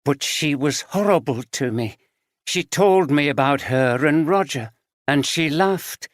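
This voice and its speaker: A man voicing a disturbed middle-aged woman, slow and dreamy, then sharp and pleading. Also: fairly high pitch, lively intonation, no room sound, studio quality. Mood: eerie tender.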